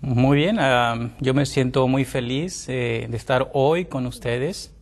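Middle-aged guy from mexico, doctor guest on tv, polite, lots of ehs and pauses. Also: medium pitch. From Tamaulipas, Mexico. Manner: polite, halting, many fillers. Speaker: naturopathic doctor, former pastor. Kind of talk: TV interview guest.

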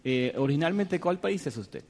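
A man's voice: a little high, lively intonation, normal speed, a little mellow. A man hosting a religious TV panel discussion, courteous and hesitant, with many fillers. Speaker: program host and panel moderator. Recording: long hall reverb.

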